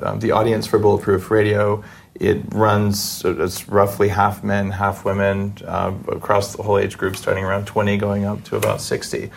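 A man's voice: lowish voice, creaky, a little mellow, a little hiss or hum. A middle-aged man hosting a podcast interview, talking conversationally and in a reflective, personal way. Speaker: podcast host interviewing a guest.

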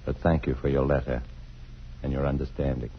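Casting: middle-aged father torn in love, very low voice, halting and earnest, muffled vintage radio sound. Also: level tone, gravelly, a little hiss or hum. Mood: hesitant, earnest. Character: troubled, tender married father torn between two loves. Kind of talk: 1950s radio drama acting. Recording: dull vintage radio broadcast, faint music behind.

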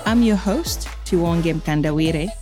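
Low-voiced woman hosting a podcast. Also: unhurried, music in the background. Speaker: podcast host. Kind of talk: scripted podcast introduction and interviewing.

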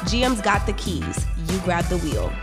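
Female ad announcer over loud music. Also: lowish voice, animated, normal speed. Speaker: commercial announcer. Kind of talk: scripted ad narration.

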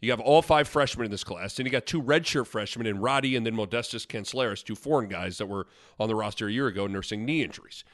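A man's voice: fairly low pitch, fast talker, a bit bright, dry recording, clean studio sound. A young man hosting a podcast in casual sports talk, congested from a sinus infection, rambling and correcting himself as he goes. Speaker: podcast host.

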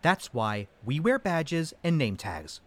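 An actor playing a grumpy, suspicious, self-important flat-earther in a comedic audio drama, with a pompous, grumbling delivery. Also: male voice, a little high, slow pace, no room sound. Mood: suspicious, officious.

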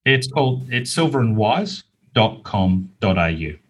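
A man's voice: lowish voice, big pitch swings, measured pace, slightly rough. A middle-aged or older man with an Australian accent, explaining things earnestly as an interview guest. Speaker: interview guest, business author. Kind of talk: conversational interview answers.

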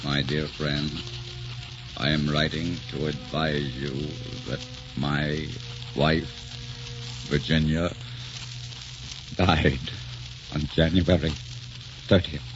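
Deep-voiced, tormented grieving poet. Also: male voice, little pitch movement, takes it slowly, pauses often. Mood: numb grief. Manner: melodramatic, brooding delivery. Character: grieving, tormented poet husband, intense and tender. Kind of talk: 1940s radio drama acting. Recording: muffled old 1940s radio recording, music behind.

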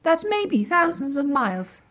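A woman playing a vain, literal-minded artificial intelligence in an audio drama comedy, speaking in deadpan, stilted formal phrases. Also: high-pitched, normal speed, heavily acted. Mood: deadpan, self-assured.

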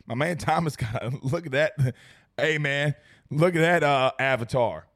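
A young man hosting a fan livestream after a big game, his voice hoarse and strained from yelling. He talks in an excitable, rambling way, repeating lines for emphasis. His voice sits a bit high for a man. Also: moderate pace. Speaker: sports talk show host. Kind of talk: excited post-game livestream chat reading super chats.

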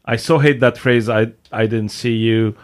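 Man, podcast co-host, halting reading, casual chat. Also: mid-range voice, steady tone. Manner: reads haltingly.

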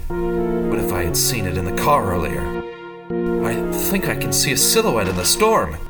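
A man's voice: on the low side, wildly swooping pitch, moderate pace. A man playing a desperate but determined businessman in a murder mystery radio play, thinking aloud in melodramatic fashion. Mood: grim, brooding. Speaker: actor in an audio drama. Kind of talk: radio theater acting, dramatic narrated monologue. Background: music.

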